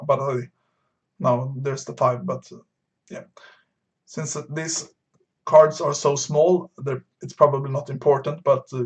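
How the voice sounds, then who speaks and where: A man from Sweden, streaming a bridge game and explaining his moves to beginners while thinking aloud with frequent pauses and fillers. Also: a little high. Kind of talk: live-stream commentary explaining card play.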